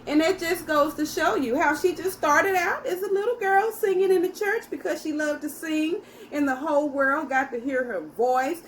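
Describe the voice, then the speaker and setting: A woman's voice: sits high, moderate pace, slight background noise, low-quality recording. A woman hosting a children's story time, reading aloud and speaking directly to young listeners in a teacherly way.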